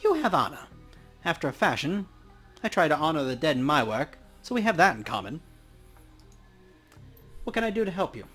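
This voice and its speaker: A man's voice: a little high, sing-song, brisk, pauses often, fairly bright tone, breathy edge, faint music behind, exaggerated voice. A man voicing a dry, precise, principled dwarf coroner in a video game. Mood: wry, earnest. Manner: precise, wry, matter-of-fact. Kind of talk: hobby voice acting for a game's dialogue.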